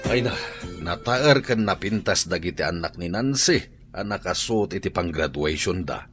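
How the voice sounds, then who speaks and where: A blunt Ilocano father in a radio drama. His voice is fairly low, and he talks like a principled parent laying down the truth. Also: lively intonation, normal speed, a bit bright, faint music behind. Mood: musing, disappointed.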